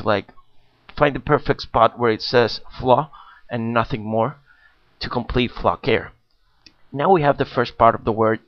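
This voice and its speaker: A man narrating a step-by-step software walkthrough in a plain explanatory way, with frequent "uh" fillers. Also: medium pitch, highs cut off. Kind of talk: screen-recorded software walkthrough.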